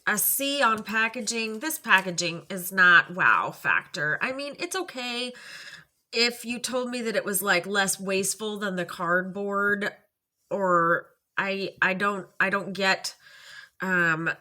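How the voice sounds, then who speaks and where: A woman's voice: mid-range voice, slow pace, bright, forceful tone. A middle-aged woman with a stuffy nose, chatting at home as she reviews makeup, rambling with many ums and self-corrections. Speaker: makeup vlogger. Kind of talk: casual makeup review chat.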